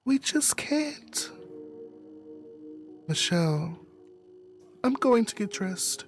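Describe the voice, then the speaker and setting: A man reading a visual novel aloud as first-person narration, in an earnest, measured, dramatic way. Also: mid-range voice. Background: game music under the voice.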